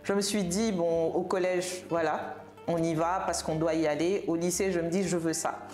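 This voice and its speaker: A woman speaks in a slightly low register, recounting her life in a conversational way. She repeats words for emphasis. The room around her is noticeably echoey. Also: steady tone, moderate pace, darkish tone, soft background music. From Senegal. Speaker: podcast guest, tech professional. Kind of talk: conversational personal storytelling. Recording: roomy, reverberant room sound.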